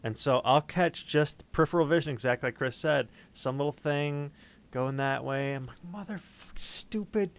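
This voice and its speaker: A man's voice: on the high side, normal speed, creaky, clean tone. A man chatting casually in an informal storytelling way, with plenty of swearing.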